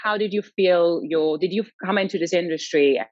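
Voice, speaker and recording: A woman's voice: fairly low pitch, normal speed, darkish tone, no echo, muffled recording. A woman who grew up in Austria, moderating a panel and hesitating and repeating words as she asks questions. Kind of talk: panel discussion.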